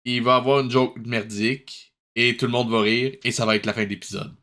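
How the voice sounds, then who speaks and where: Sarcastic Québécois man, mid-pitched. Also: fairly even intonation, fairly quick, bright, forceful tone. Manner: mocking, incredulous. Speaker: host reviewing a cartoon. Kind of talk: casual sarcastic commentary.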